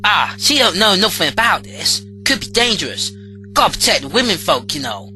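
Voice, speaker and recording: A loyal young companion in an audio drama, folksy and rough in his grammar. The voice is bright and lively in pitch, with faint music under it. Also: brisk. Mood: earnest.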